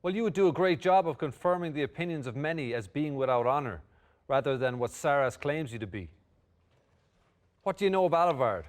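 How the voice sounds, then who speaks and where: A man playing a blunt, confident envoy in a fantasy table read, speaking in measured, formal speeches. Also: a little high, expressive, natural voice. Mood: stern. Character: confident, flirtatious male envoy, blunt and unflinching.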